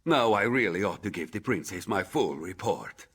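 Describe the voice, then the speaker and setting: A man's voice: on the low side, expressive, normal speed, a bit bright, husky. A man playing a frightened soldier in a fantasy video game, reporting in an urgent, dramatic way. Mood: shaken.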